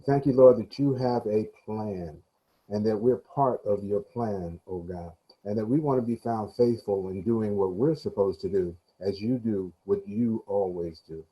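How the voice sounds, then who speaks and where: Dark-voiced man praying fervently. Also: fairly low pitch, measured pace, rough recording. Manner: fervent, reverent. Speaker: prayer leader. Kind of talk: opening prayer.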